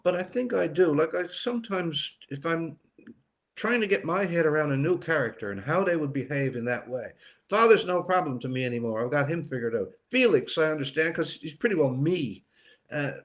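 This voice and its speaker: A man's voice: a little high, gravelly. An older man from Newfoundland, an author chatting casually as an interview guest in a reflective, meandering way. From Newfoundland, Canada. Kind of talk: casual interview talk about writing.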